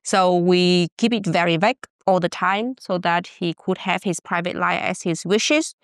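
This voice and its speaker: A woman's voice: fairly low pitch, normal speed, dry recording, studio quality. Playful Vietnamese woman host. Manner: playful, teasing, lively. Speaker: podcast host. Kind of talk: casual chat.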